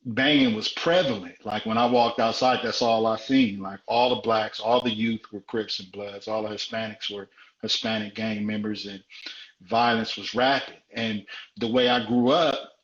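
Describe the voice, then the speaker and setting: A man's voice: lowish voice, steady tone, normal speed, a bit bright. A man in his forties from South Central Los Angeles tells his life story in a frank, conversational way as a guest in a live interview. He repeats phrases for emphasis. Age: 40s. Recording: dull, roomy live-stream audio.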